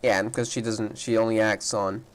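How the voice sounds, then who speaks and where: A man's voice: on the low side, level tone, moderate pace. A man doing casual playthrough commentary, thinking aloud and speculating as he reacts. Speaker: gaming video creator. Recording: voice over background game music.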